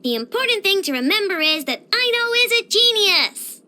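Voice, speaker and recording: Game role: child genius inventor, very high, bright voice, boastful and bubbly. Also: female voice, very animated, a little slow, full character voice. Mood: cheerful, proud. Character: cheerful young girl genius inventor, proud and childlike. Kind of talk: scripted video game character voice lines.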